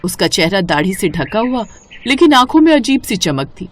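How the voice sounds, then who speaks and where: A woman's voice: medium pitch, very animated, normal speed. A woman narrator reading a scripted story in a storytelling style.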